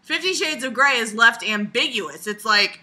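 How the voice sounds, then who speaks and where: A woman giving casual, rambling video commentary in an animated, sarcastic way, with crude humor and frequent swearing. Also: on the high side, moderate pace. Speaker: video blogger.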